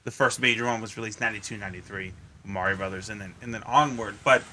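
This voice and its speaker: A man's voice: fairly low pitch, quick pace, bright, forceful tone, a little hiss or hum. An American man bantering casually as a podcast co-host, with crude, sarcastic humor. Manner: crude, sarcastic joking, profane.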